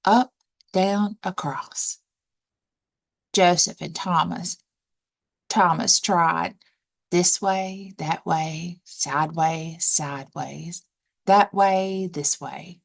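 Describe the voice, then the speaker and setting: A woman librarian narrating a children's storybook aloud, deliberate and expressive, dwelling on action words. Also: on the low side, creaky.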